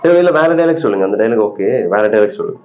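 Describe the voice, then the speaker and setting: A man's voice: on the low side, expressive, racing. A man making a prank call as a mock-serious interviewer, asking critical questions on a muffled phone recording. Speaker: prank caller posing as acting auditioner.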